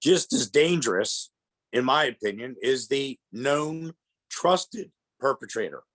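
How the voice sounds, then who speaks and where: A man's voice: medium pitch, lively intonation, takes it slowly, fairly bright tone, poor audio. Man, congested, head cold, plainspoken presenter, middle-aged. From Pennsylvania. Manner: plainspoken, explanatory, addresses audience as folks. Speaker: guest speaker on elder fraud. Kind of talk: public presentation.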